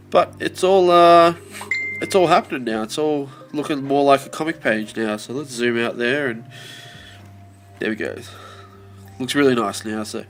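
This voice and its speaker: A young man vlogging casually about his comic work, relaxed and rambling with lots of fillers, a bit sniffly with his voice slightly off. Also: mid-range voice. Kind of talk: casual video diary.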